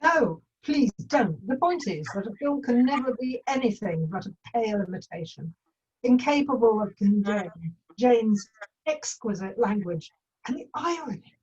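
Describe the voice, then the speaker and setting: An actress playing a snooty, pedantic Jane Austen purist, prim and disdainful, in a comedy script read. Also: female voice, a little high, expressive, moderate pace, darkish tone, breathy edge, far from the mic, muffled recording. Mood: haughty.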